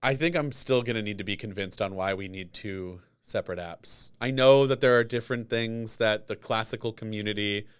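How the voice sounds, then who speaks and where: Smooth-voiced man hosting a casual podcast. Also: lowish voice, normal speed, few pauses. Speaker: podcast host. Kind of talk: casual interview chat.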